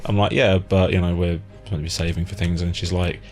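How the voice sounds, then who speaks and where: A man with a low voice hosts a relaxed conversation. He speaks informally and bluntly, sharing opinions as an interviewer. There is faint music behind his voice. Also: fast. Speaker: podcast host, interviewer. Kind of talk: casual opinionated chat.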